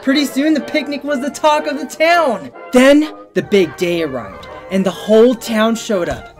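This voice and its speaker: A woman's voice: a little high, normal speed, a little mellow, natural voice. A woman voicing an earnest, good-natured little male creature in a comic dub, talking like a chatty storyteller. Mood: storytelling. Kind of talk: fan comic dub voice acting. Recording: music behind the voice.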